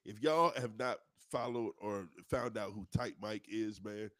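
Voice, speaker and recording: Stuffy deep-voiced man. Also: measured pace, no room sound, studio quality. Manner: loud, playful banter. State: stuffy, congested. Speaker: podcast co-host. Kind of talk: casual podcast chat.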